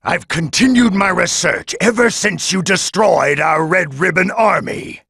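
Harsh, gravelly old android villain. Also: male voice, sits high, bright, forceful tone, full character voice. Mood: vengeful, gloating. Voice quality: gravelly, raspy. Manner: haughty, deliberate. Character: arrogant, sinister old male android scientist, coldly vengeful. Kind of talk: English anime game voice acting.

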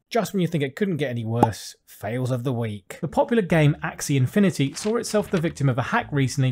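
British guy in his 30s reading finance news off a script, brisk, sneaks in dry little jokes, home studio setup. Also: a little high, a little mellow, a little hiss or hum. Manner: reads headlines briskly, adds wry jokes. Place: small home studio with desk and lights, indoors.

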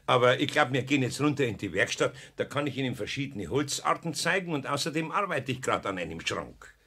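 A man's voice: medium pitch, lively intonation, a little fast, a bit bright, slightly rough. A man playing a good-natured, evasive older master carpenter with a Bavarian accent in a children's radio play, homely and placating. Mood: evasive, polite.

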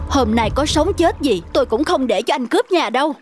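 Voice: high voice, animated, fairly quick. A performer voicing a quarrelsome, indignant frog neighbour in a cartoon, scolding combatively. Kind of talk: cartoon voice acting. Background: music.

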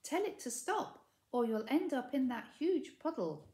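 A woman voicing an excitable, kind young witch schoolgirl in a storybook reading. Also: high-pitched, unhurried, roomy sound, voice pushed up. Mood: urgent.

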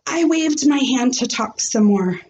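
A woman in her thirties, a teacher reading a storybook aloud with theatrical, deliberate expression, recorded in a small room at home. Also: on the high side, animated, a little mellow, lo-fi sound.

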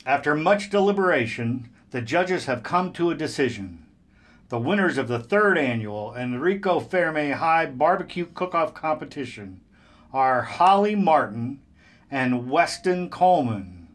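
A man playing a matter-of-fact high school teacher in an audio drama. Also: animated, measured pace. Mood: formal.

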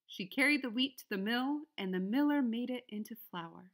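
A woman's voice: fairly high pitch, expressive, normal speed. A woman reading a children's story aloud as a narrator. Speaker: storybook reader.

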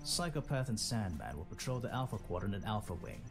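An actor playing a stern, steady major giving a formal, measured military briefing in a space game. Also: male voice, lowish voice. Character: stern, steady male squadron leader, a major. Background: loud music.

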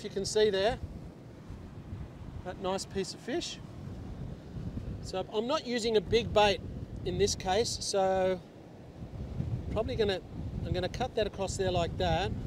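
Man teaching beach fishing outdoors. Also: high voice. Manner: steady step-by-step explaining while working. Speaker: fishing instructor. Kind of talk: hands-on fishing tutorial. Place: open beach by the surf. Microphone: lapel mic. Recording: wind and surf noise on lapel mic.

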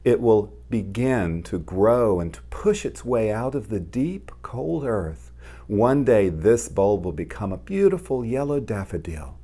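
A man voicing a gentle, reassuring mother mole in a picture book, speaking softly and tenderly with drawn-out words. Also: on the low side, big pitch swings, full character voice. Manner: soft, slow, tender, drawn-out.

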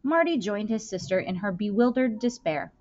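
A woman reads a children's novel aloud with expressive, deliberate phrasing. Her pitch sits in the middle for a woman. The recording is slightly dull and lo-fi, like a simple indoor setup. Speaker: school librarian reading aloud. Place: indoor room. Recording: dull, lo-fi webcam-style sound.

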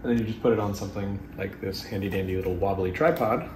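A man in his thirties with a lowish voice talks through his video gear in a relaxed, jokey way, poking fun at himself. The room rings with echo and a clear background hiss sits under the voice. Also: quick pace. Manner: jokey, self-mocking, laughs often. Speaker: vlog host. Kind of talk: casual gear overview. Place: wood-paneled living room indoors. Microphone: clip-on lapel mic.

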